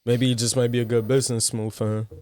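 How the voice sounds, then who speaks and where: A man's voice: medium pitch, flat delivery, fast talker, a little mellow, no room sound. A man co-hosting a casual podcast chat, talking easily and stumbling over words now and then.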